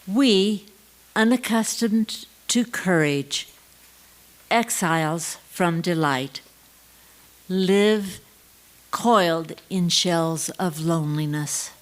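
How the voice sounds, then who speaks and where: A woman reciting poetry deliberately, with pauses between short phrases. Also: fairly low pitch. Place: church service.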